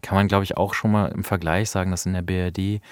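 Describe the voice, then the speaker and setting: A man's voice: sits low, little pitch movement, fast talker, smooth, dry recording. A man co-hosting a podcast, reflecting and explaining in an unscripted analytical conversation about radio plays. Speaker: podcast co-host.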